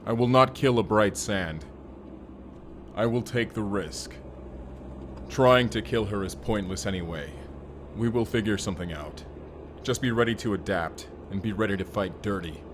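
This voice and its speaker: A man acts out a stoic warrior from a cyberpunk audio drama. He speaks in a fairly low voice with formal, terse, solemn phrasing. Ambient noise is clearly audible behind him. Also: moderate pace, natural voice. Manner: formal, terse, avoids contractions. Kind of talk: first-person audio roleplay acting.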